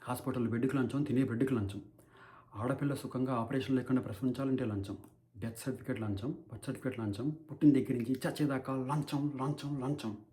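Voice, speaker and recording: A man's voice: mid-range voice, fairly even intonation, fairly quick, dark tone, natural voice. A man acting an anguished, righteous crusader in an impassioned, declamatory courtroom monologue against corruption. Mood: indignant. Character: righteous, anguished male crusader against bribery addressing a judge. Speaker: actor performing a film courtroom monologue. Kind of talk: dramatic theatrical courtroom monologue.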